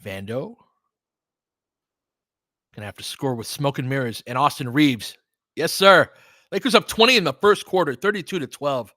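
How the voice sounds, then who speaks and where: A man delivers live basketball commentary in a medium-pitched voice. His calls come in short, clipped phrases mixed with informal, fan-like remarks. The sound is dry, with no room echo. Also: lively intonation, moderate pace, long pauses, sharp tone. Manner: clipped running phrases with casual fan-style asides. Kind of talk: live audio play-by-play commentary.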